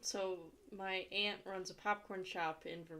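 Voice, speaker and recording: A woman's voice: mid-range voice, steady tone, a little slow, sharp tone. A young woman from Ohio with an Ohio accent, chatting casually on a stream and answering viewer questions aloud.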